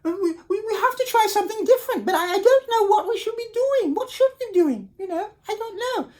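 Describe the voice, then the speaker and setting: A woman voicing three timid little wolves in a storybook reading, high, stammering and frightened. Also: animated, fast talker, darkish tone. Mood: worried.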